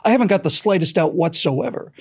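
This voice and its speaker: Higher-pitched American man, emphatic commentator. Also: expressive, fast, gravelly, slightly rough. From United States. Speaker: podcast host and commentator. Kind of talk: earnest extemporaneous political and historical commentary.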